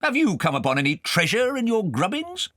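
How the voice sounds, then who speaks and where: A male narrator voicing a stout, pompous, blustering gentleman guardian who fires off questions in an audiobook. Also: high-pitched, huge pitch swings, a little fast, no echo, clean studio sound, pitched above the performer's own voice, heavily acted. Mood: blustering, scheming. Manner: pompous, quizzing with "eh?".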